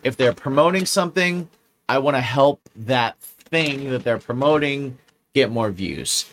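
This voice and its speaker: A man's voice: medium pitch, expressive, a little slow, a bit bright, a little hiss or hum. A man co-hosting a podcast in casual chat, rambling and restarting his sentences and swearing freely. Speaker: podcast co-host.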